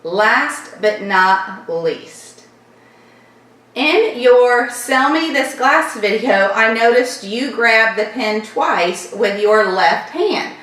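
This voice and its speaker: A woman from Florida with a light Southern accent, hosting a casual viewer Q&A vlog and rambling warmly through her answers. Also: on the high side, lively intonation, very slow, recorded from a distance. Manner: chatty, repeats thanks, loose rambling sentences. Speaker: channel host answering viewer questions. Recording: echoey room, voice a bit off-mic.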